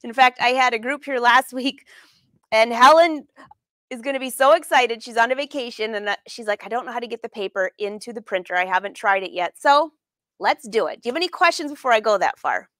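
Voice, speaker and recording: Middle-aged female craft host for a casual live demo; fairly high voice, chatty with viewers, dry room sound. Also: brisk, bright, forceful tone, no room sound. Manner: chatty, informal, peppers talk with questions to viewers. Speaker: host of a live sewing lesson. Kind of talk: casual live craft demonstration with audience chat. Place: sewing studio room, indoors.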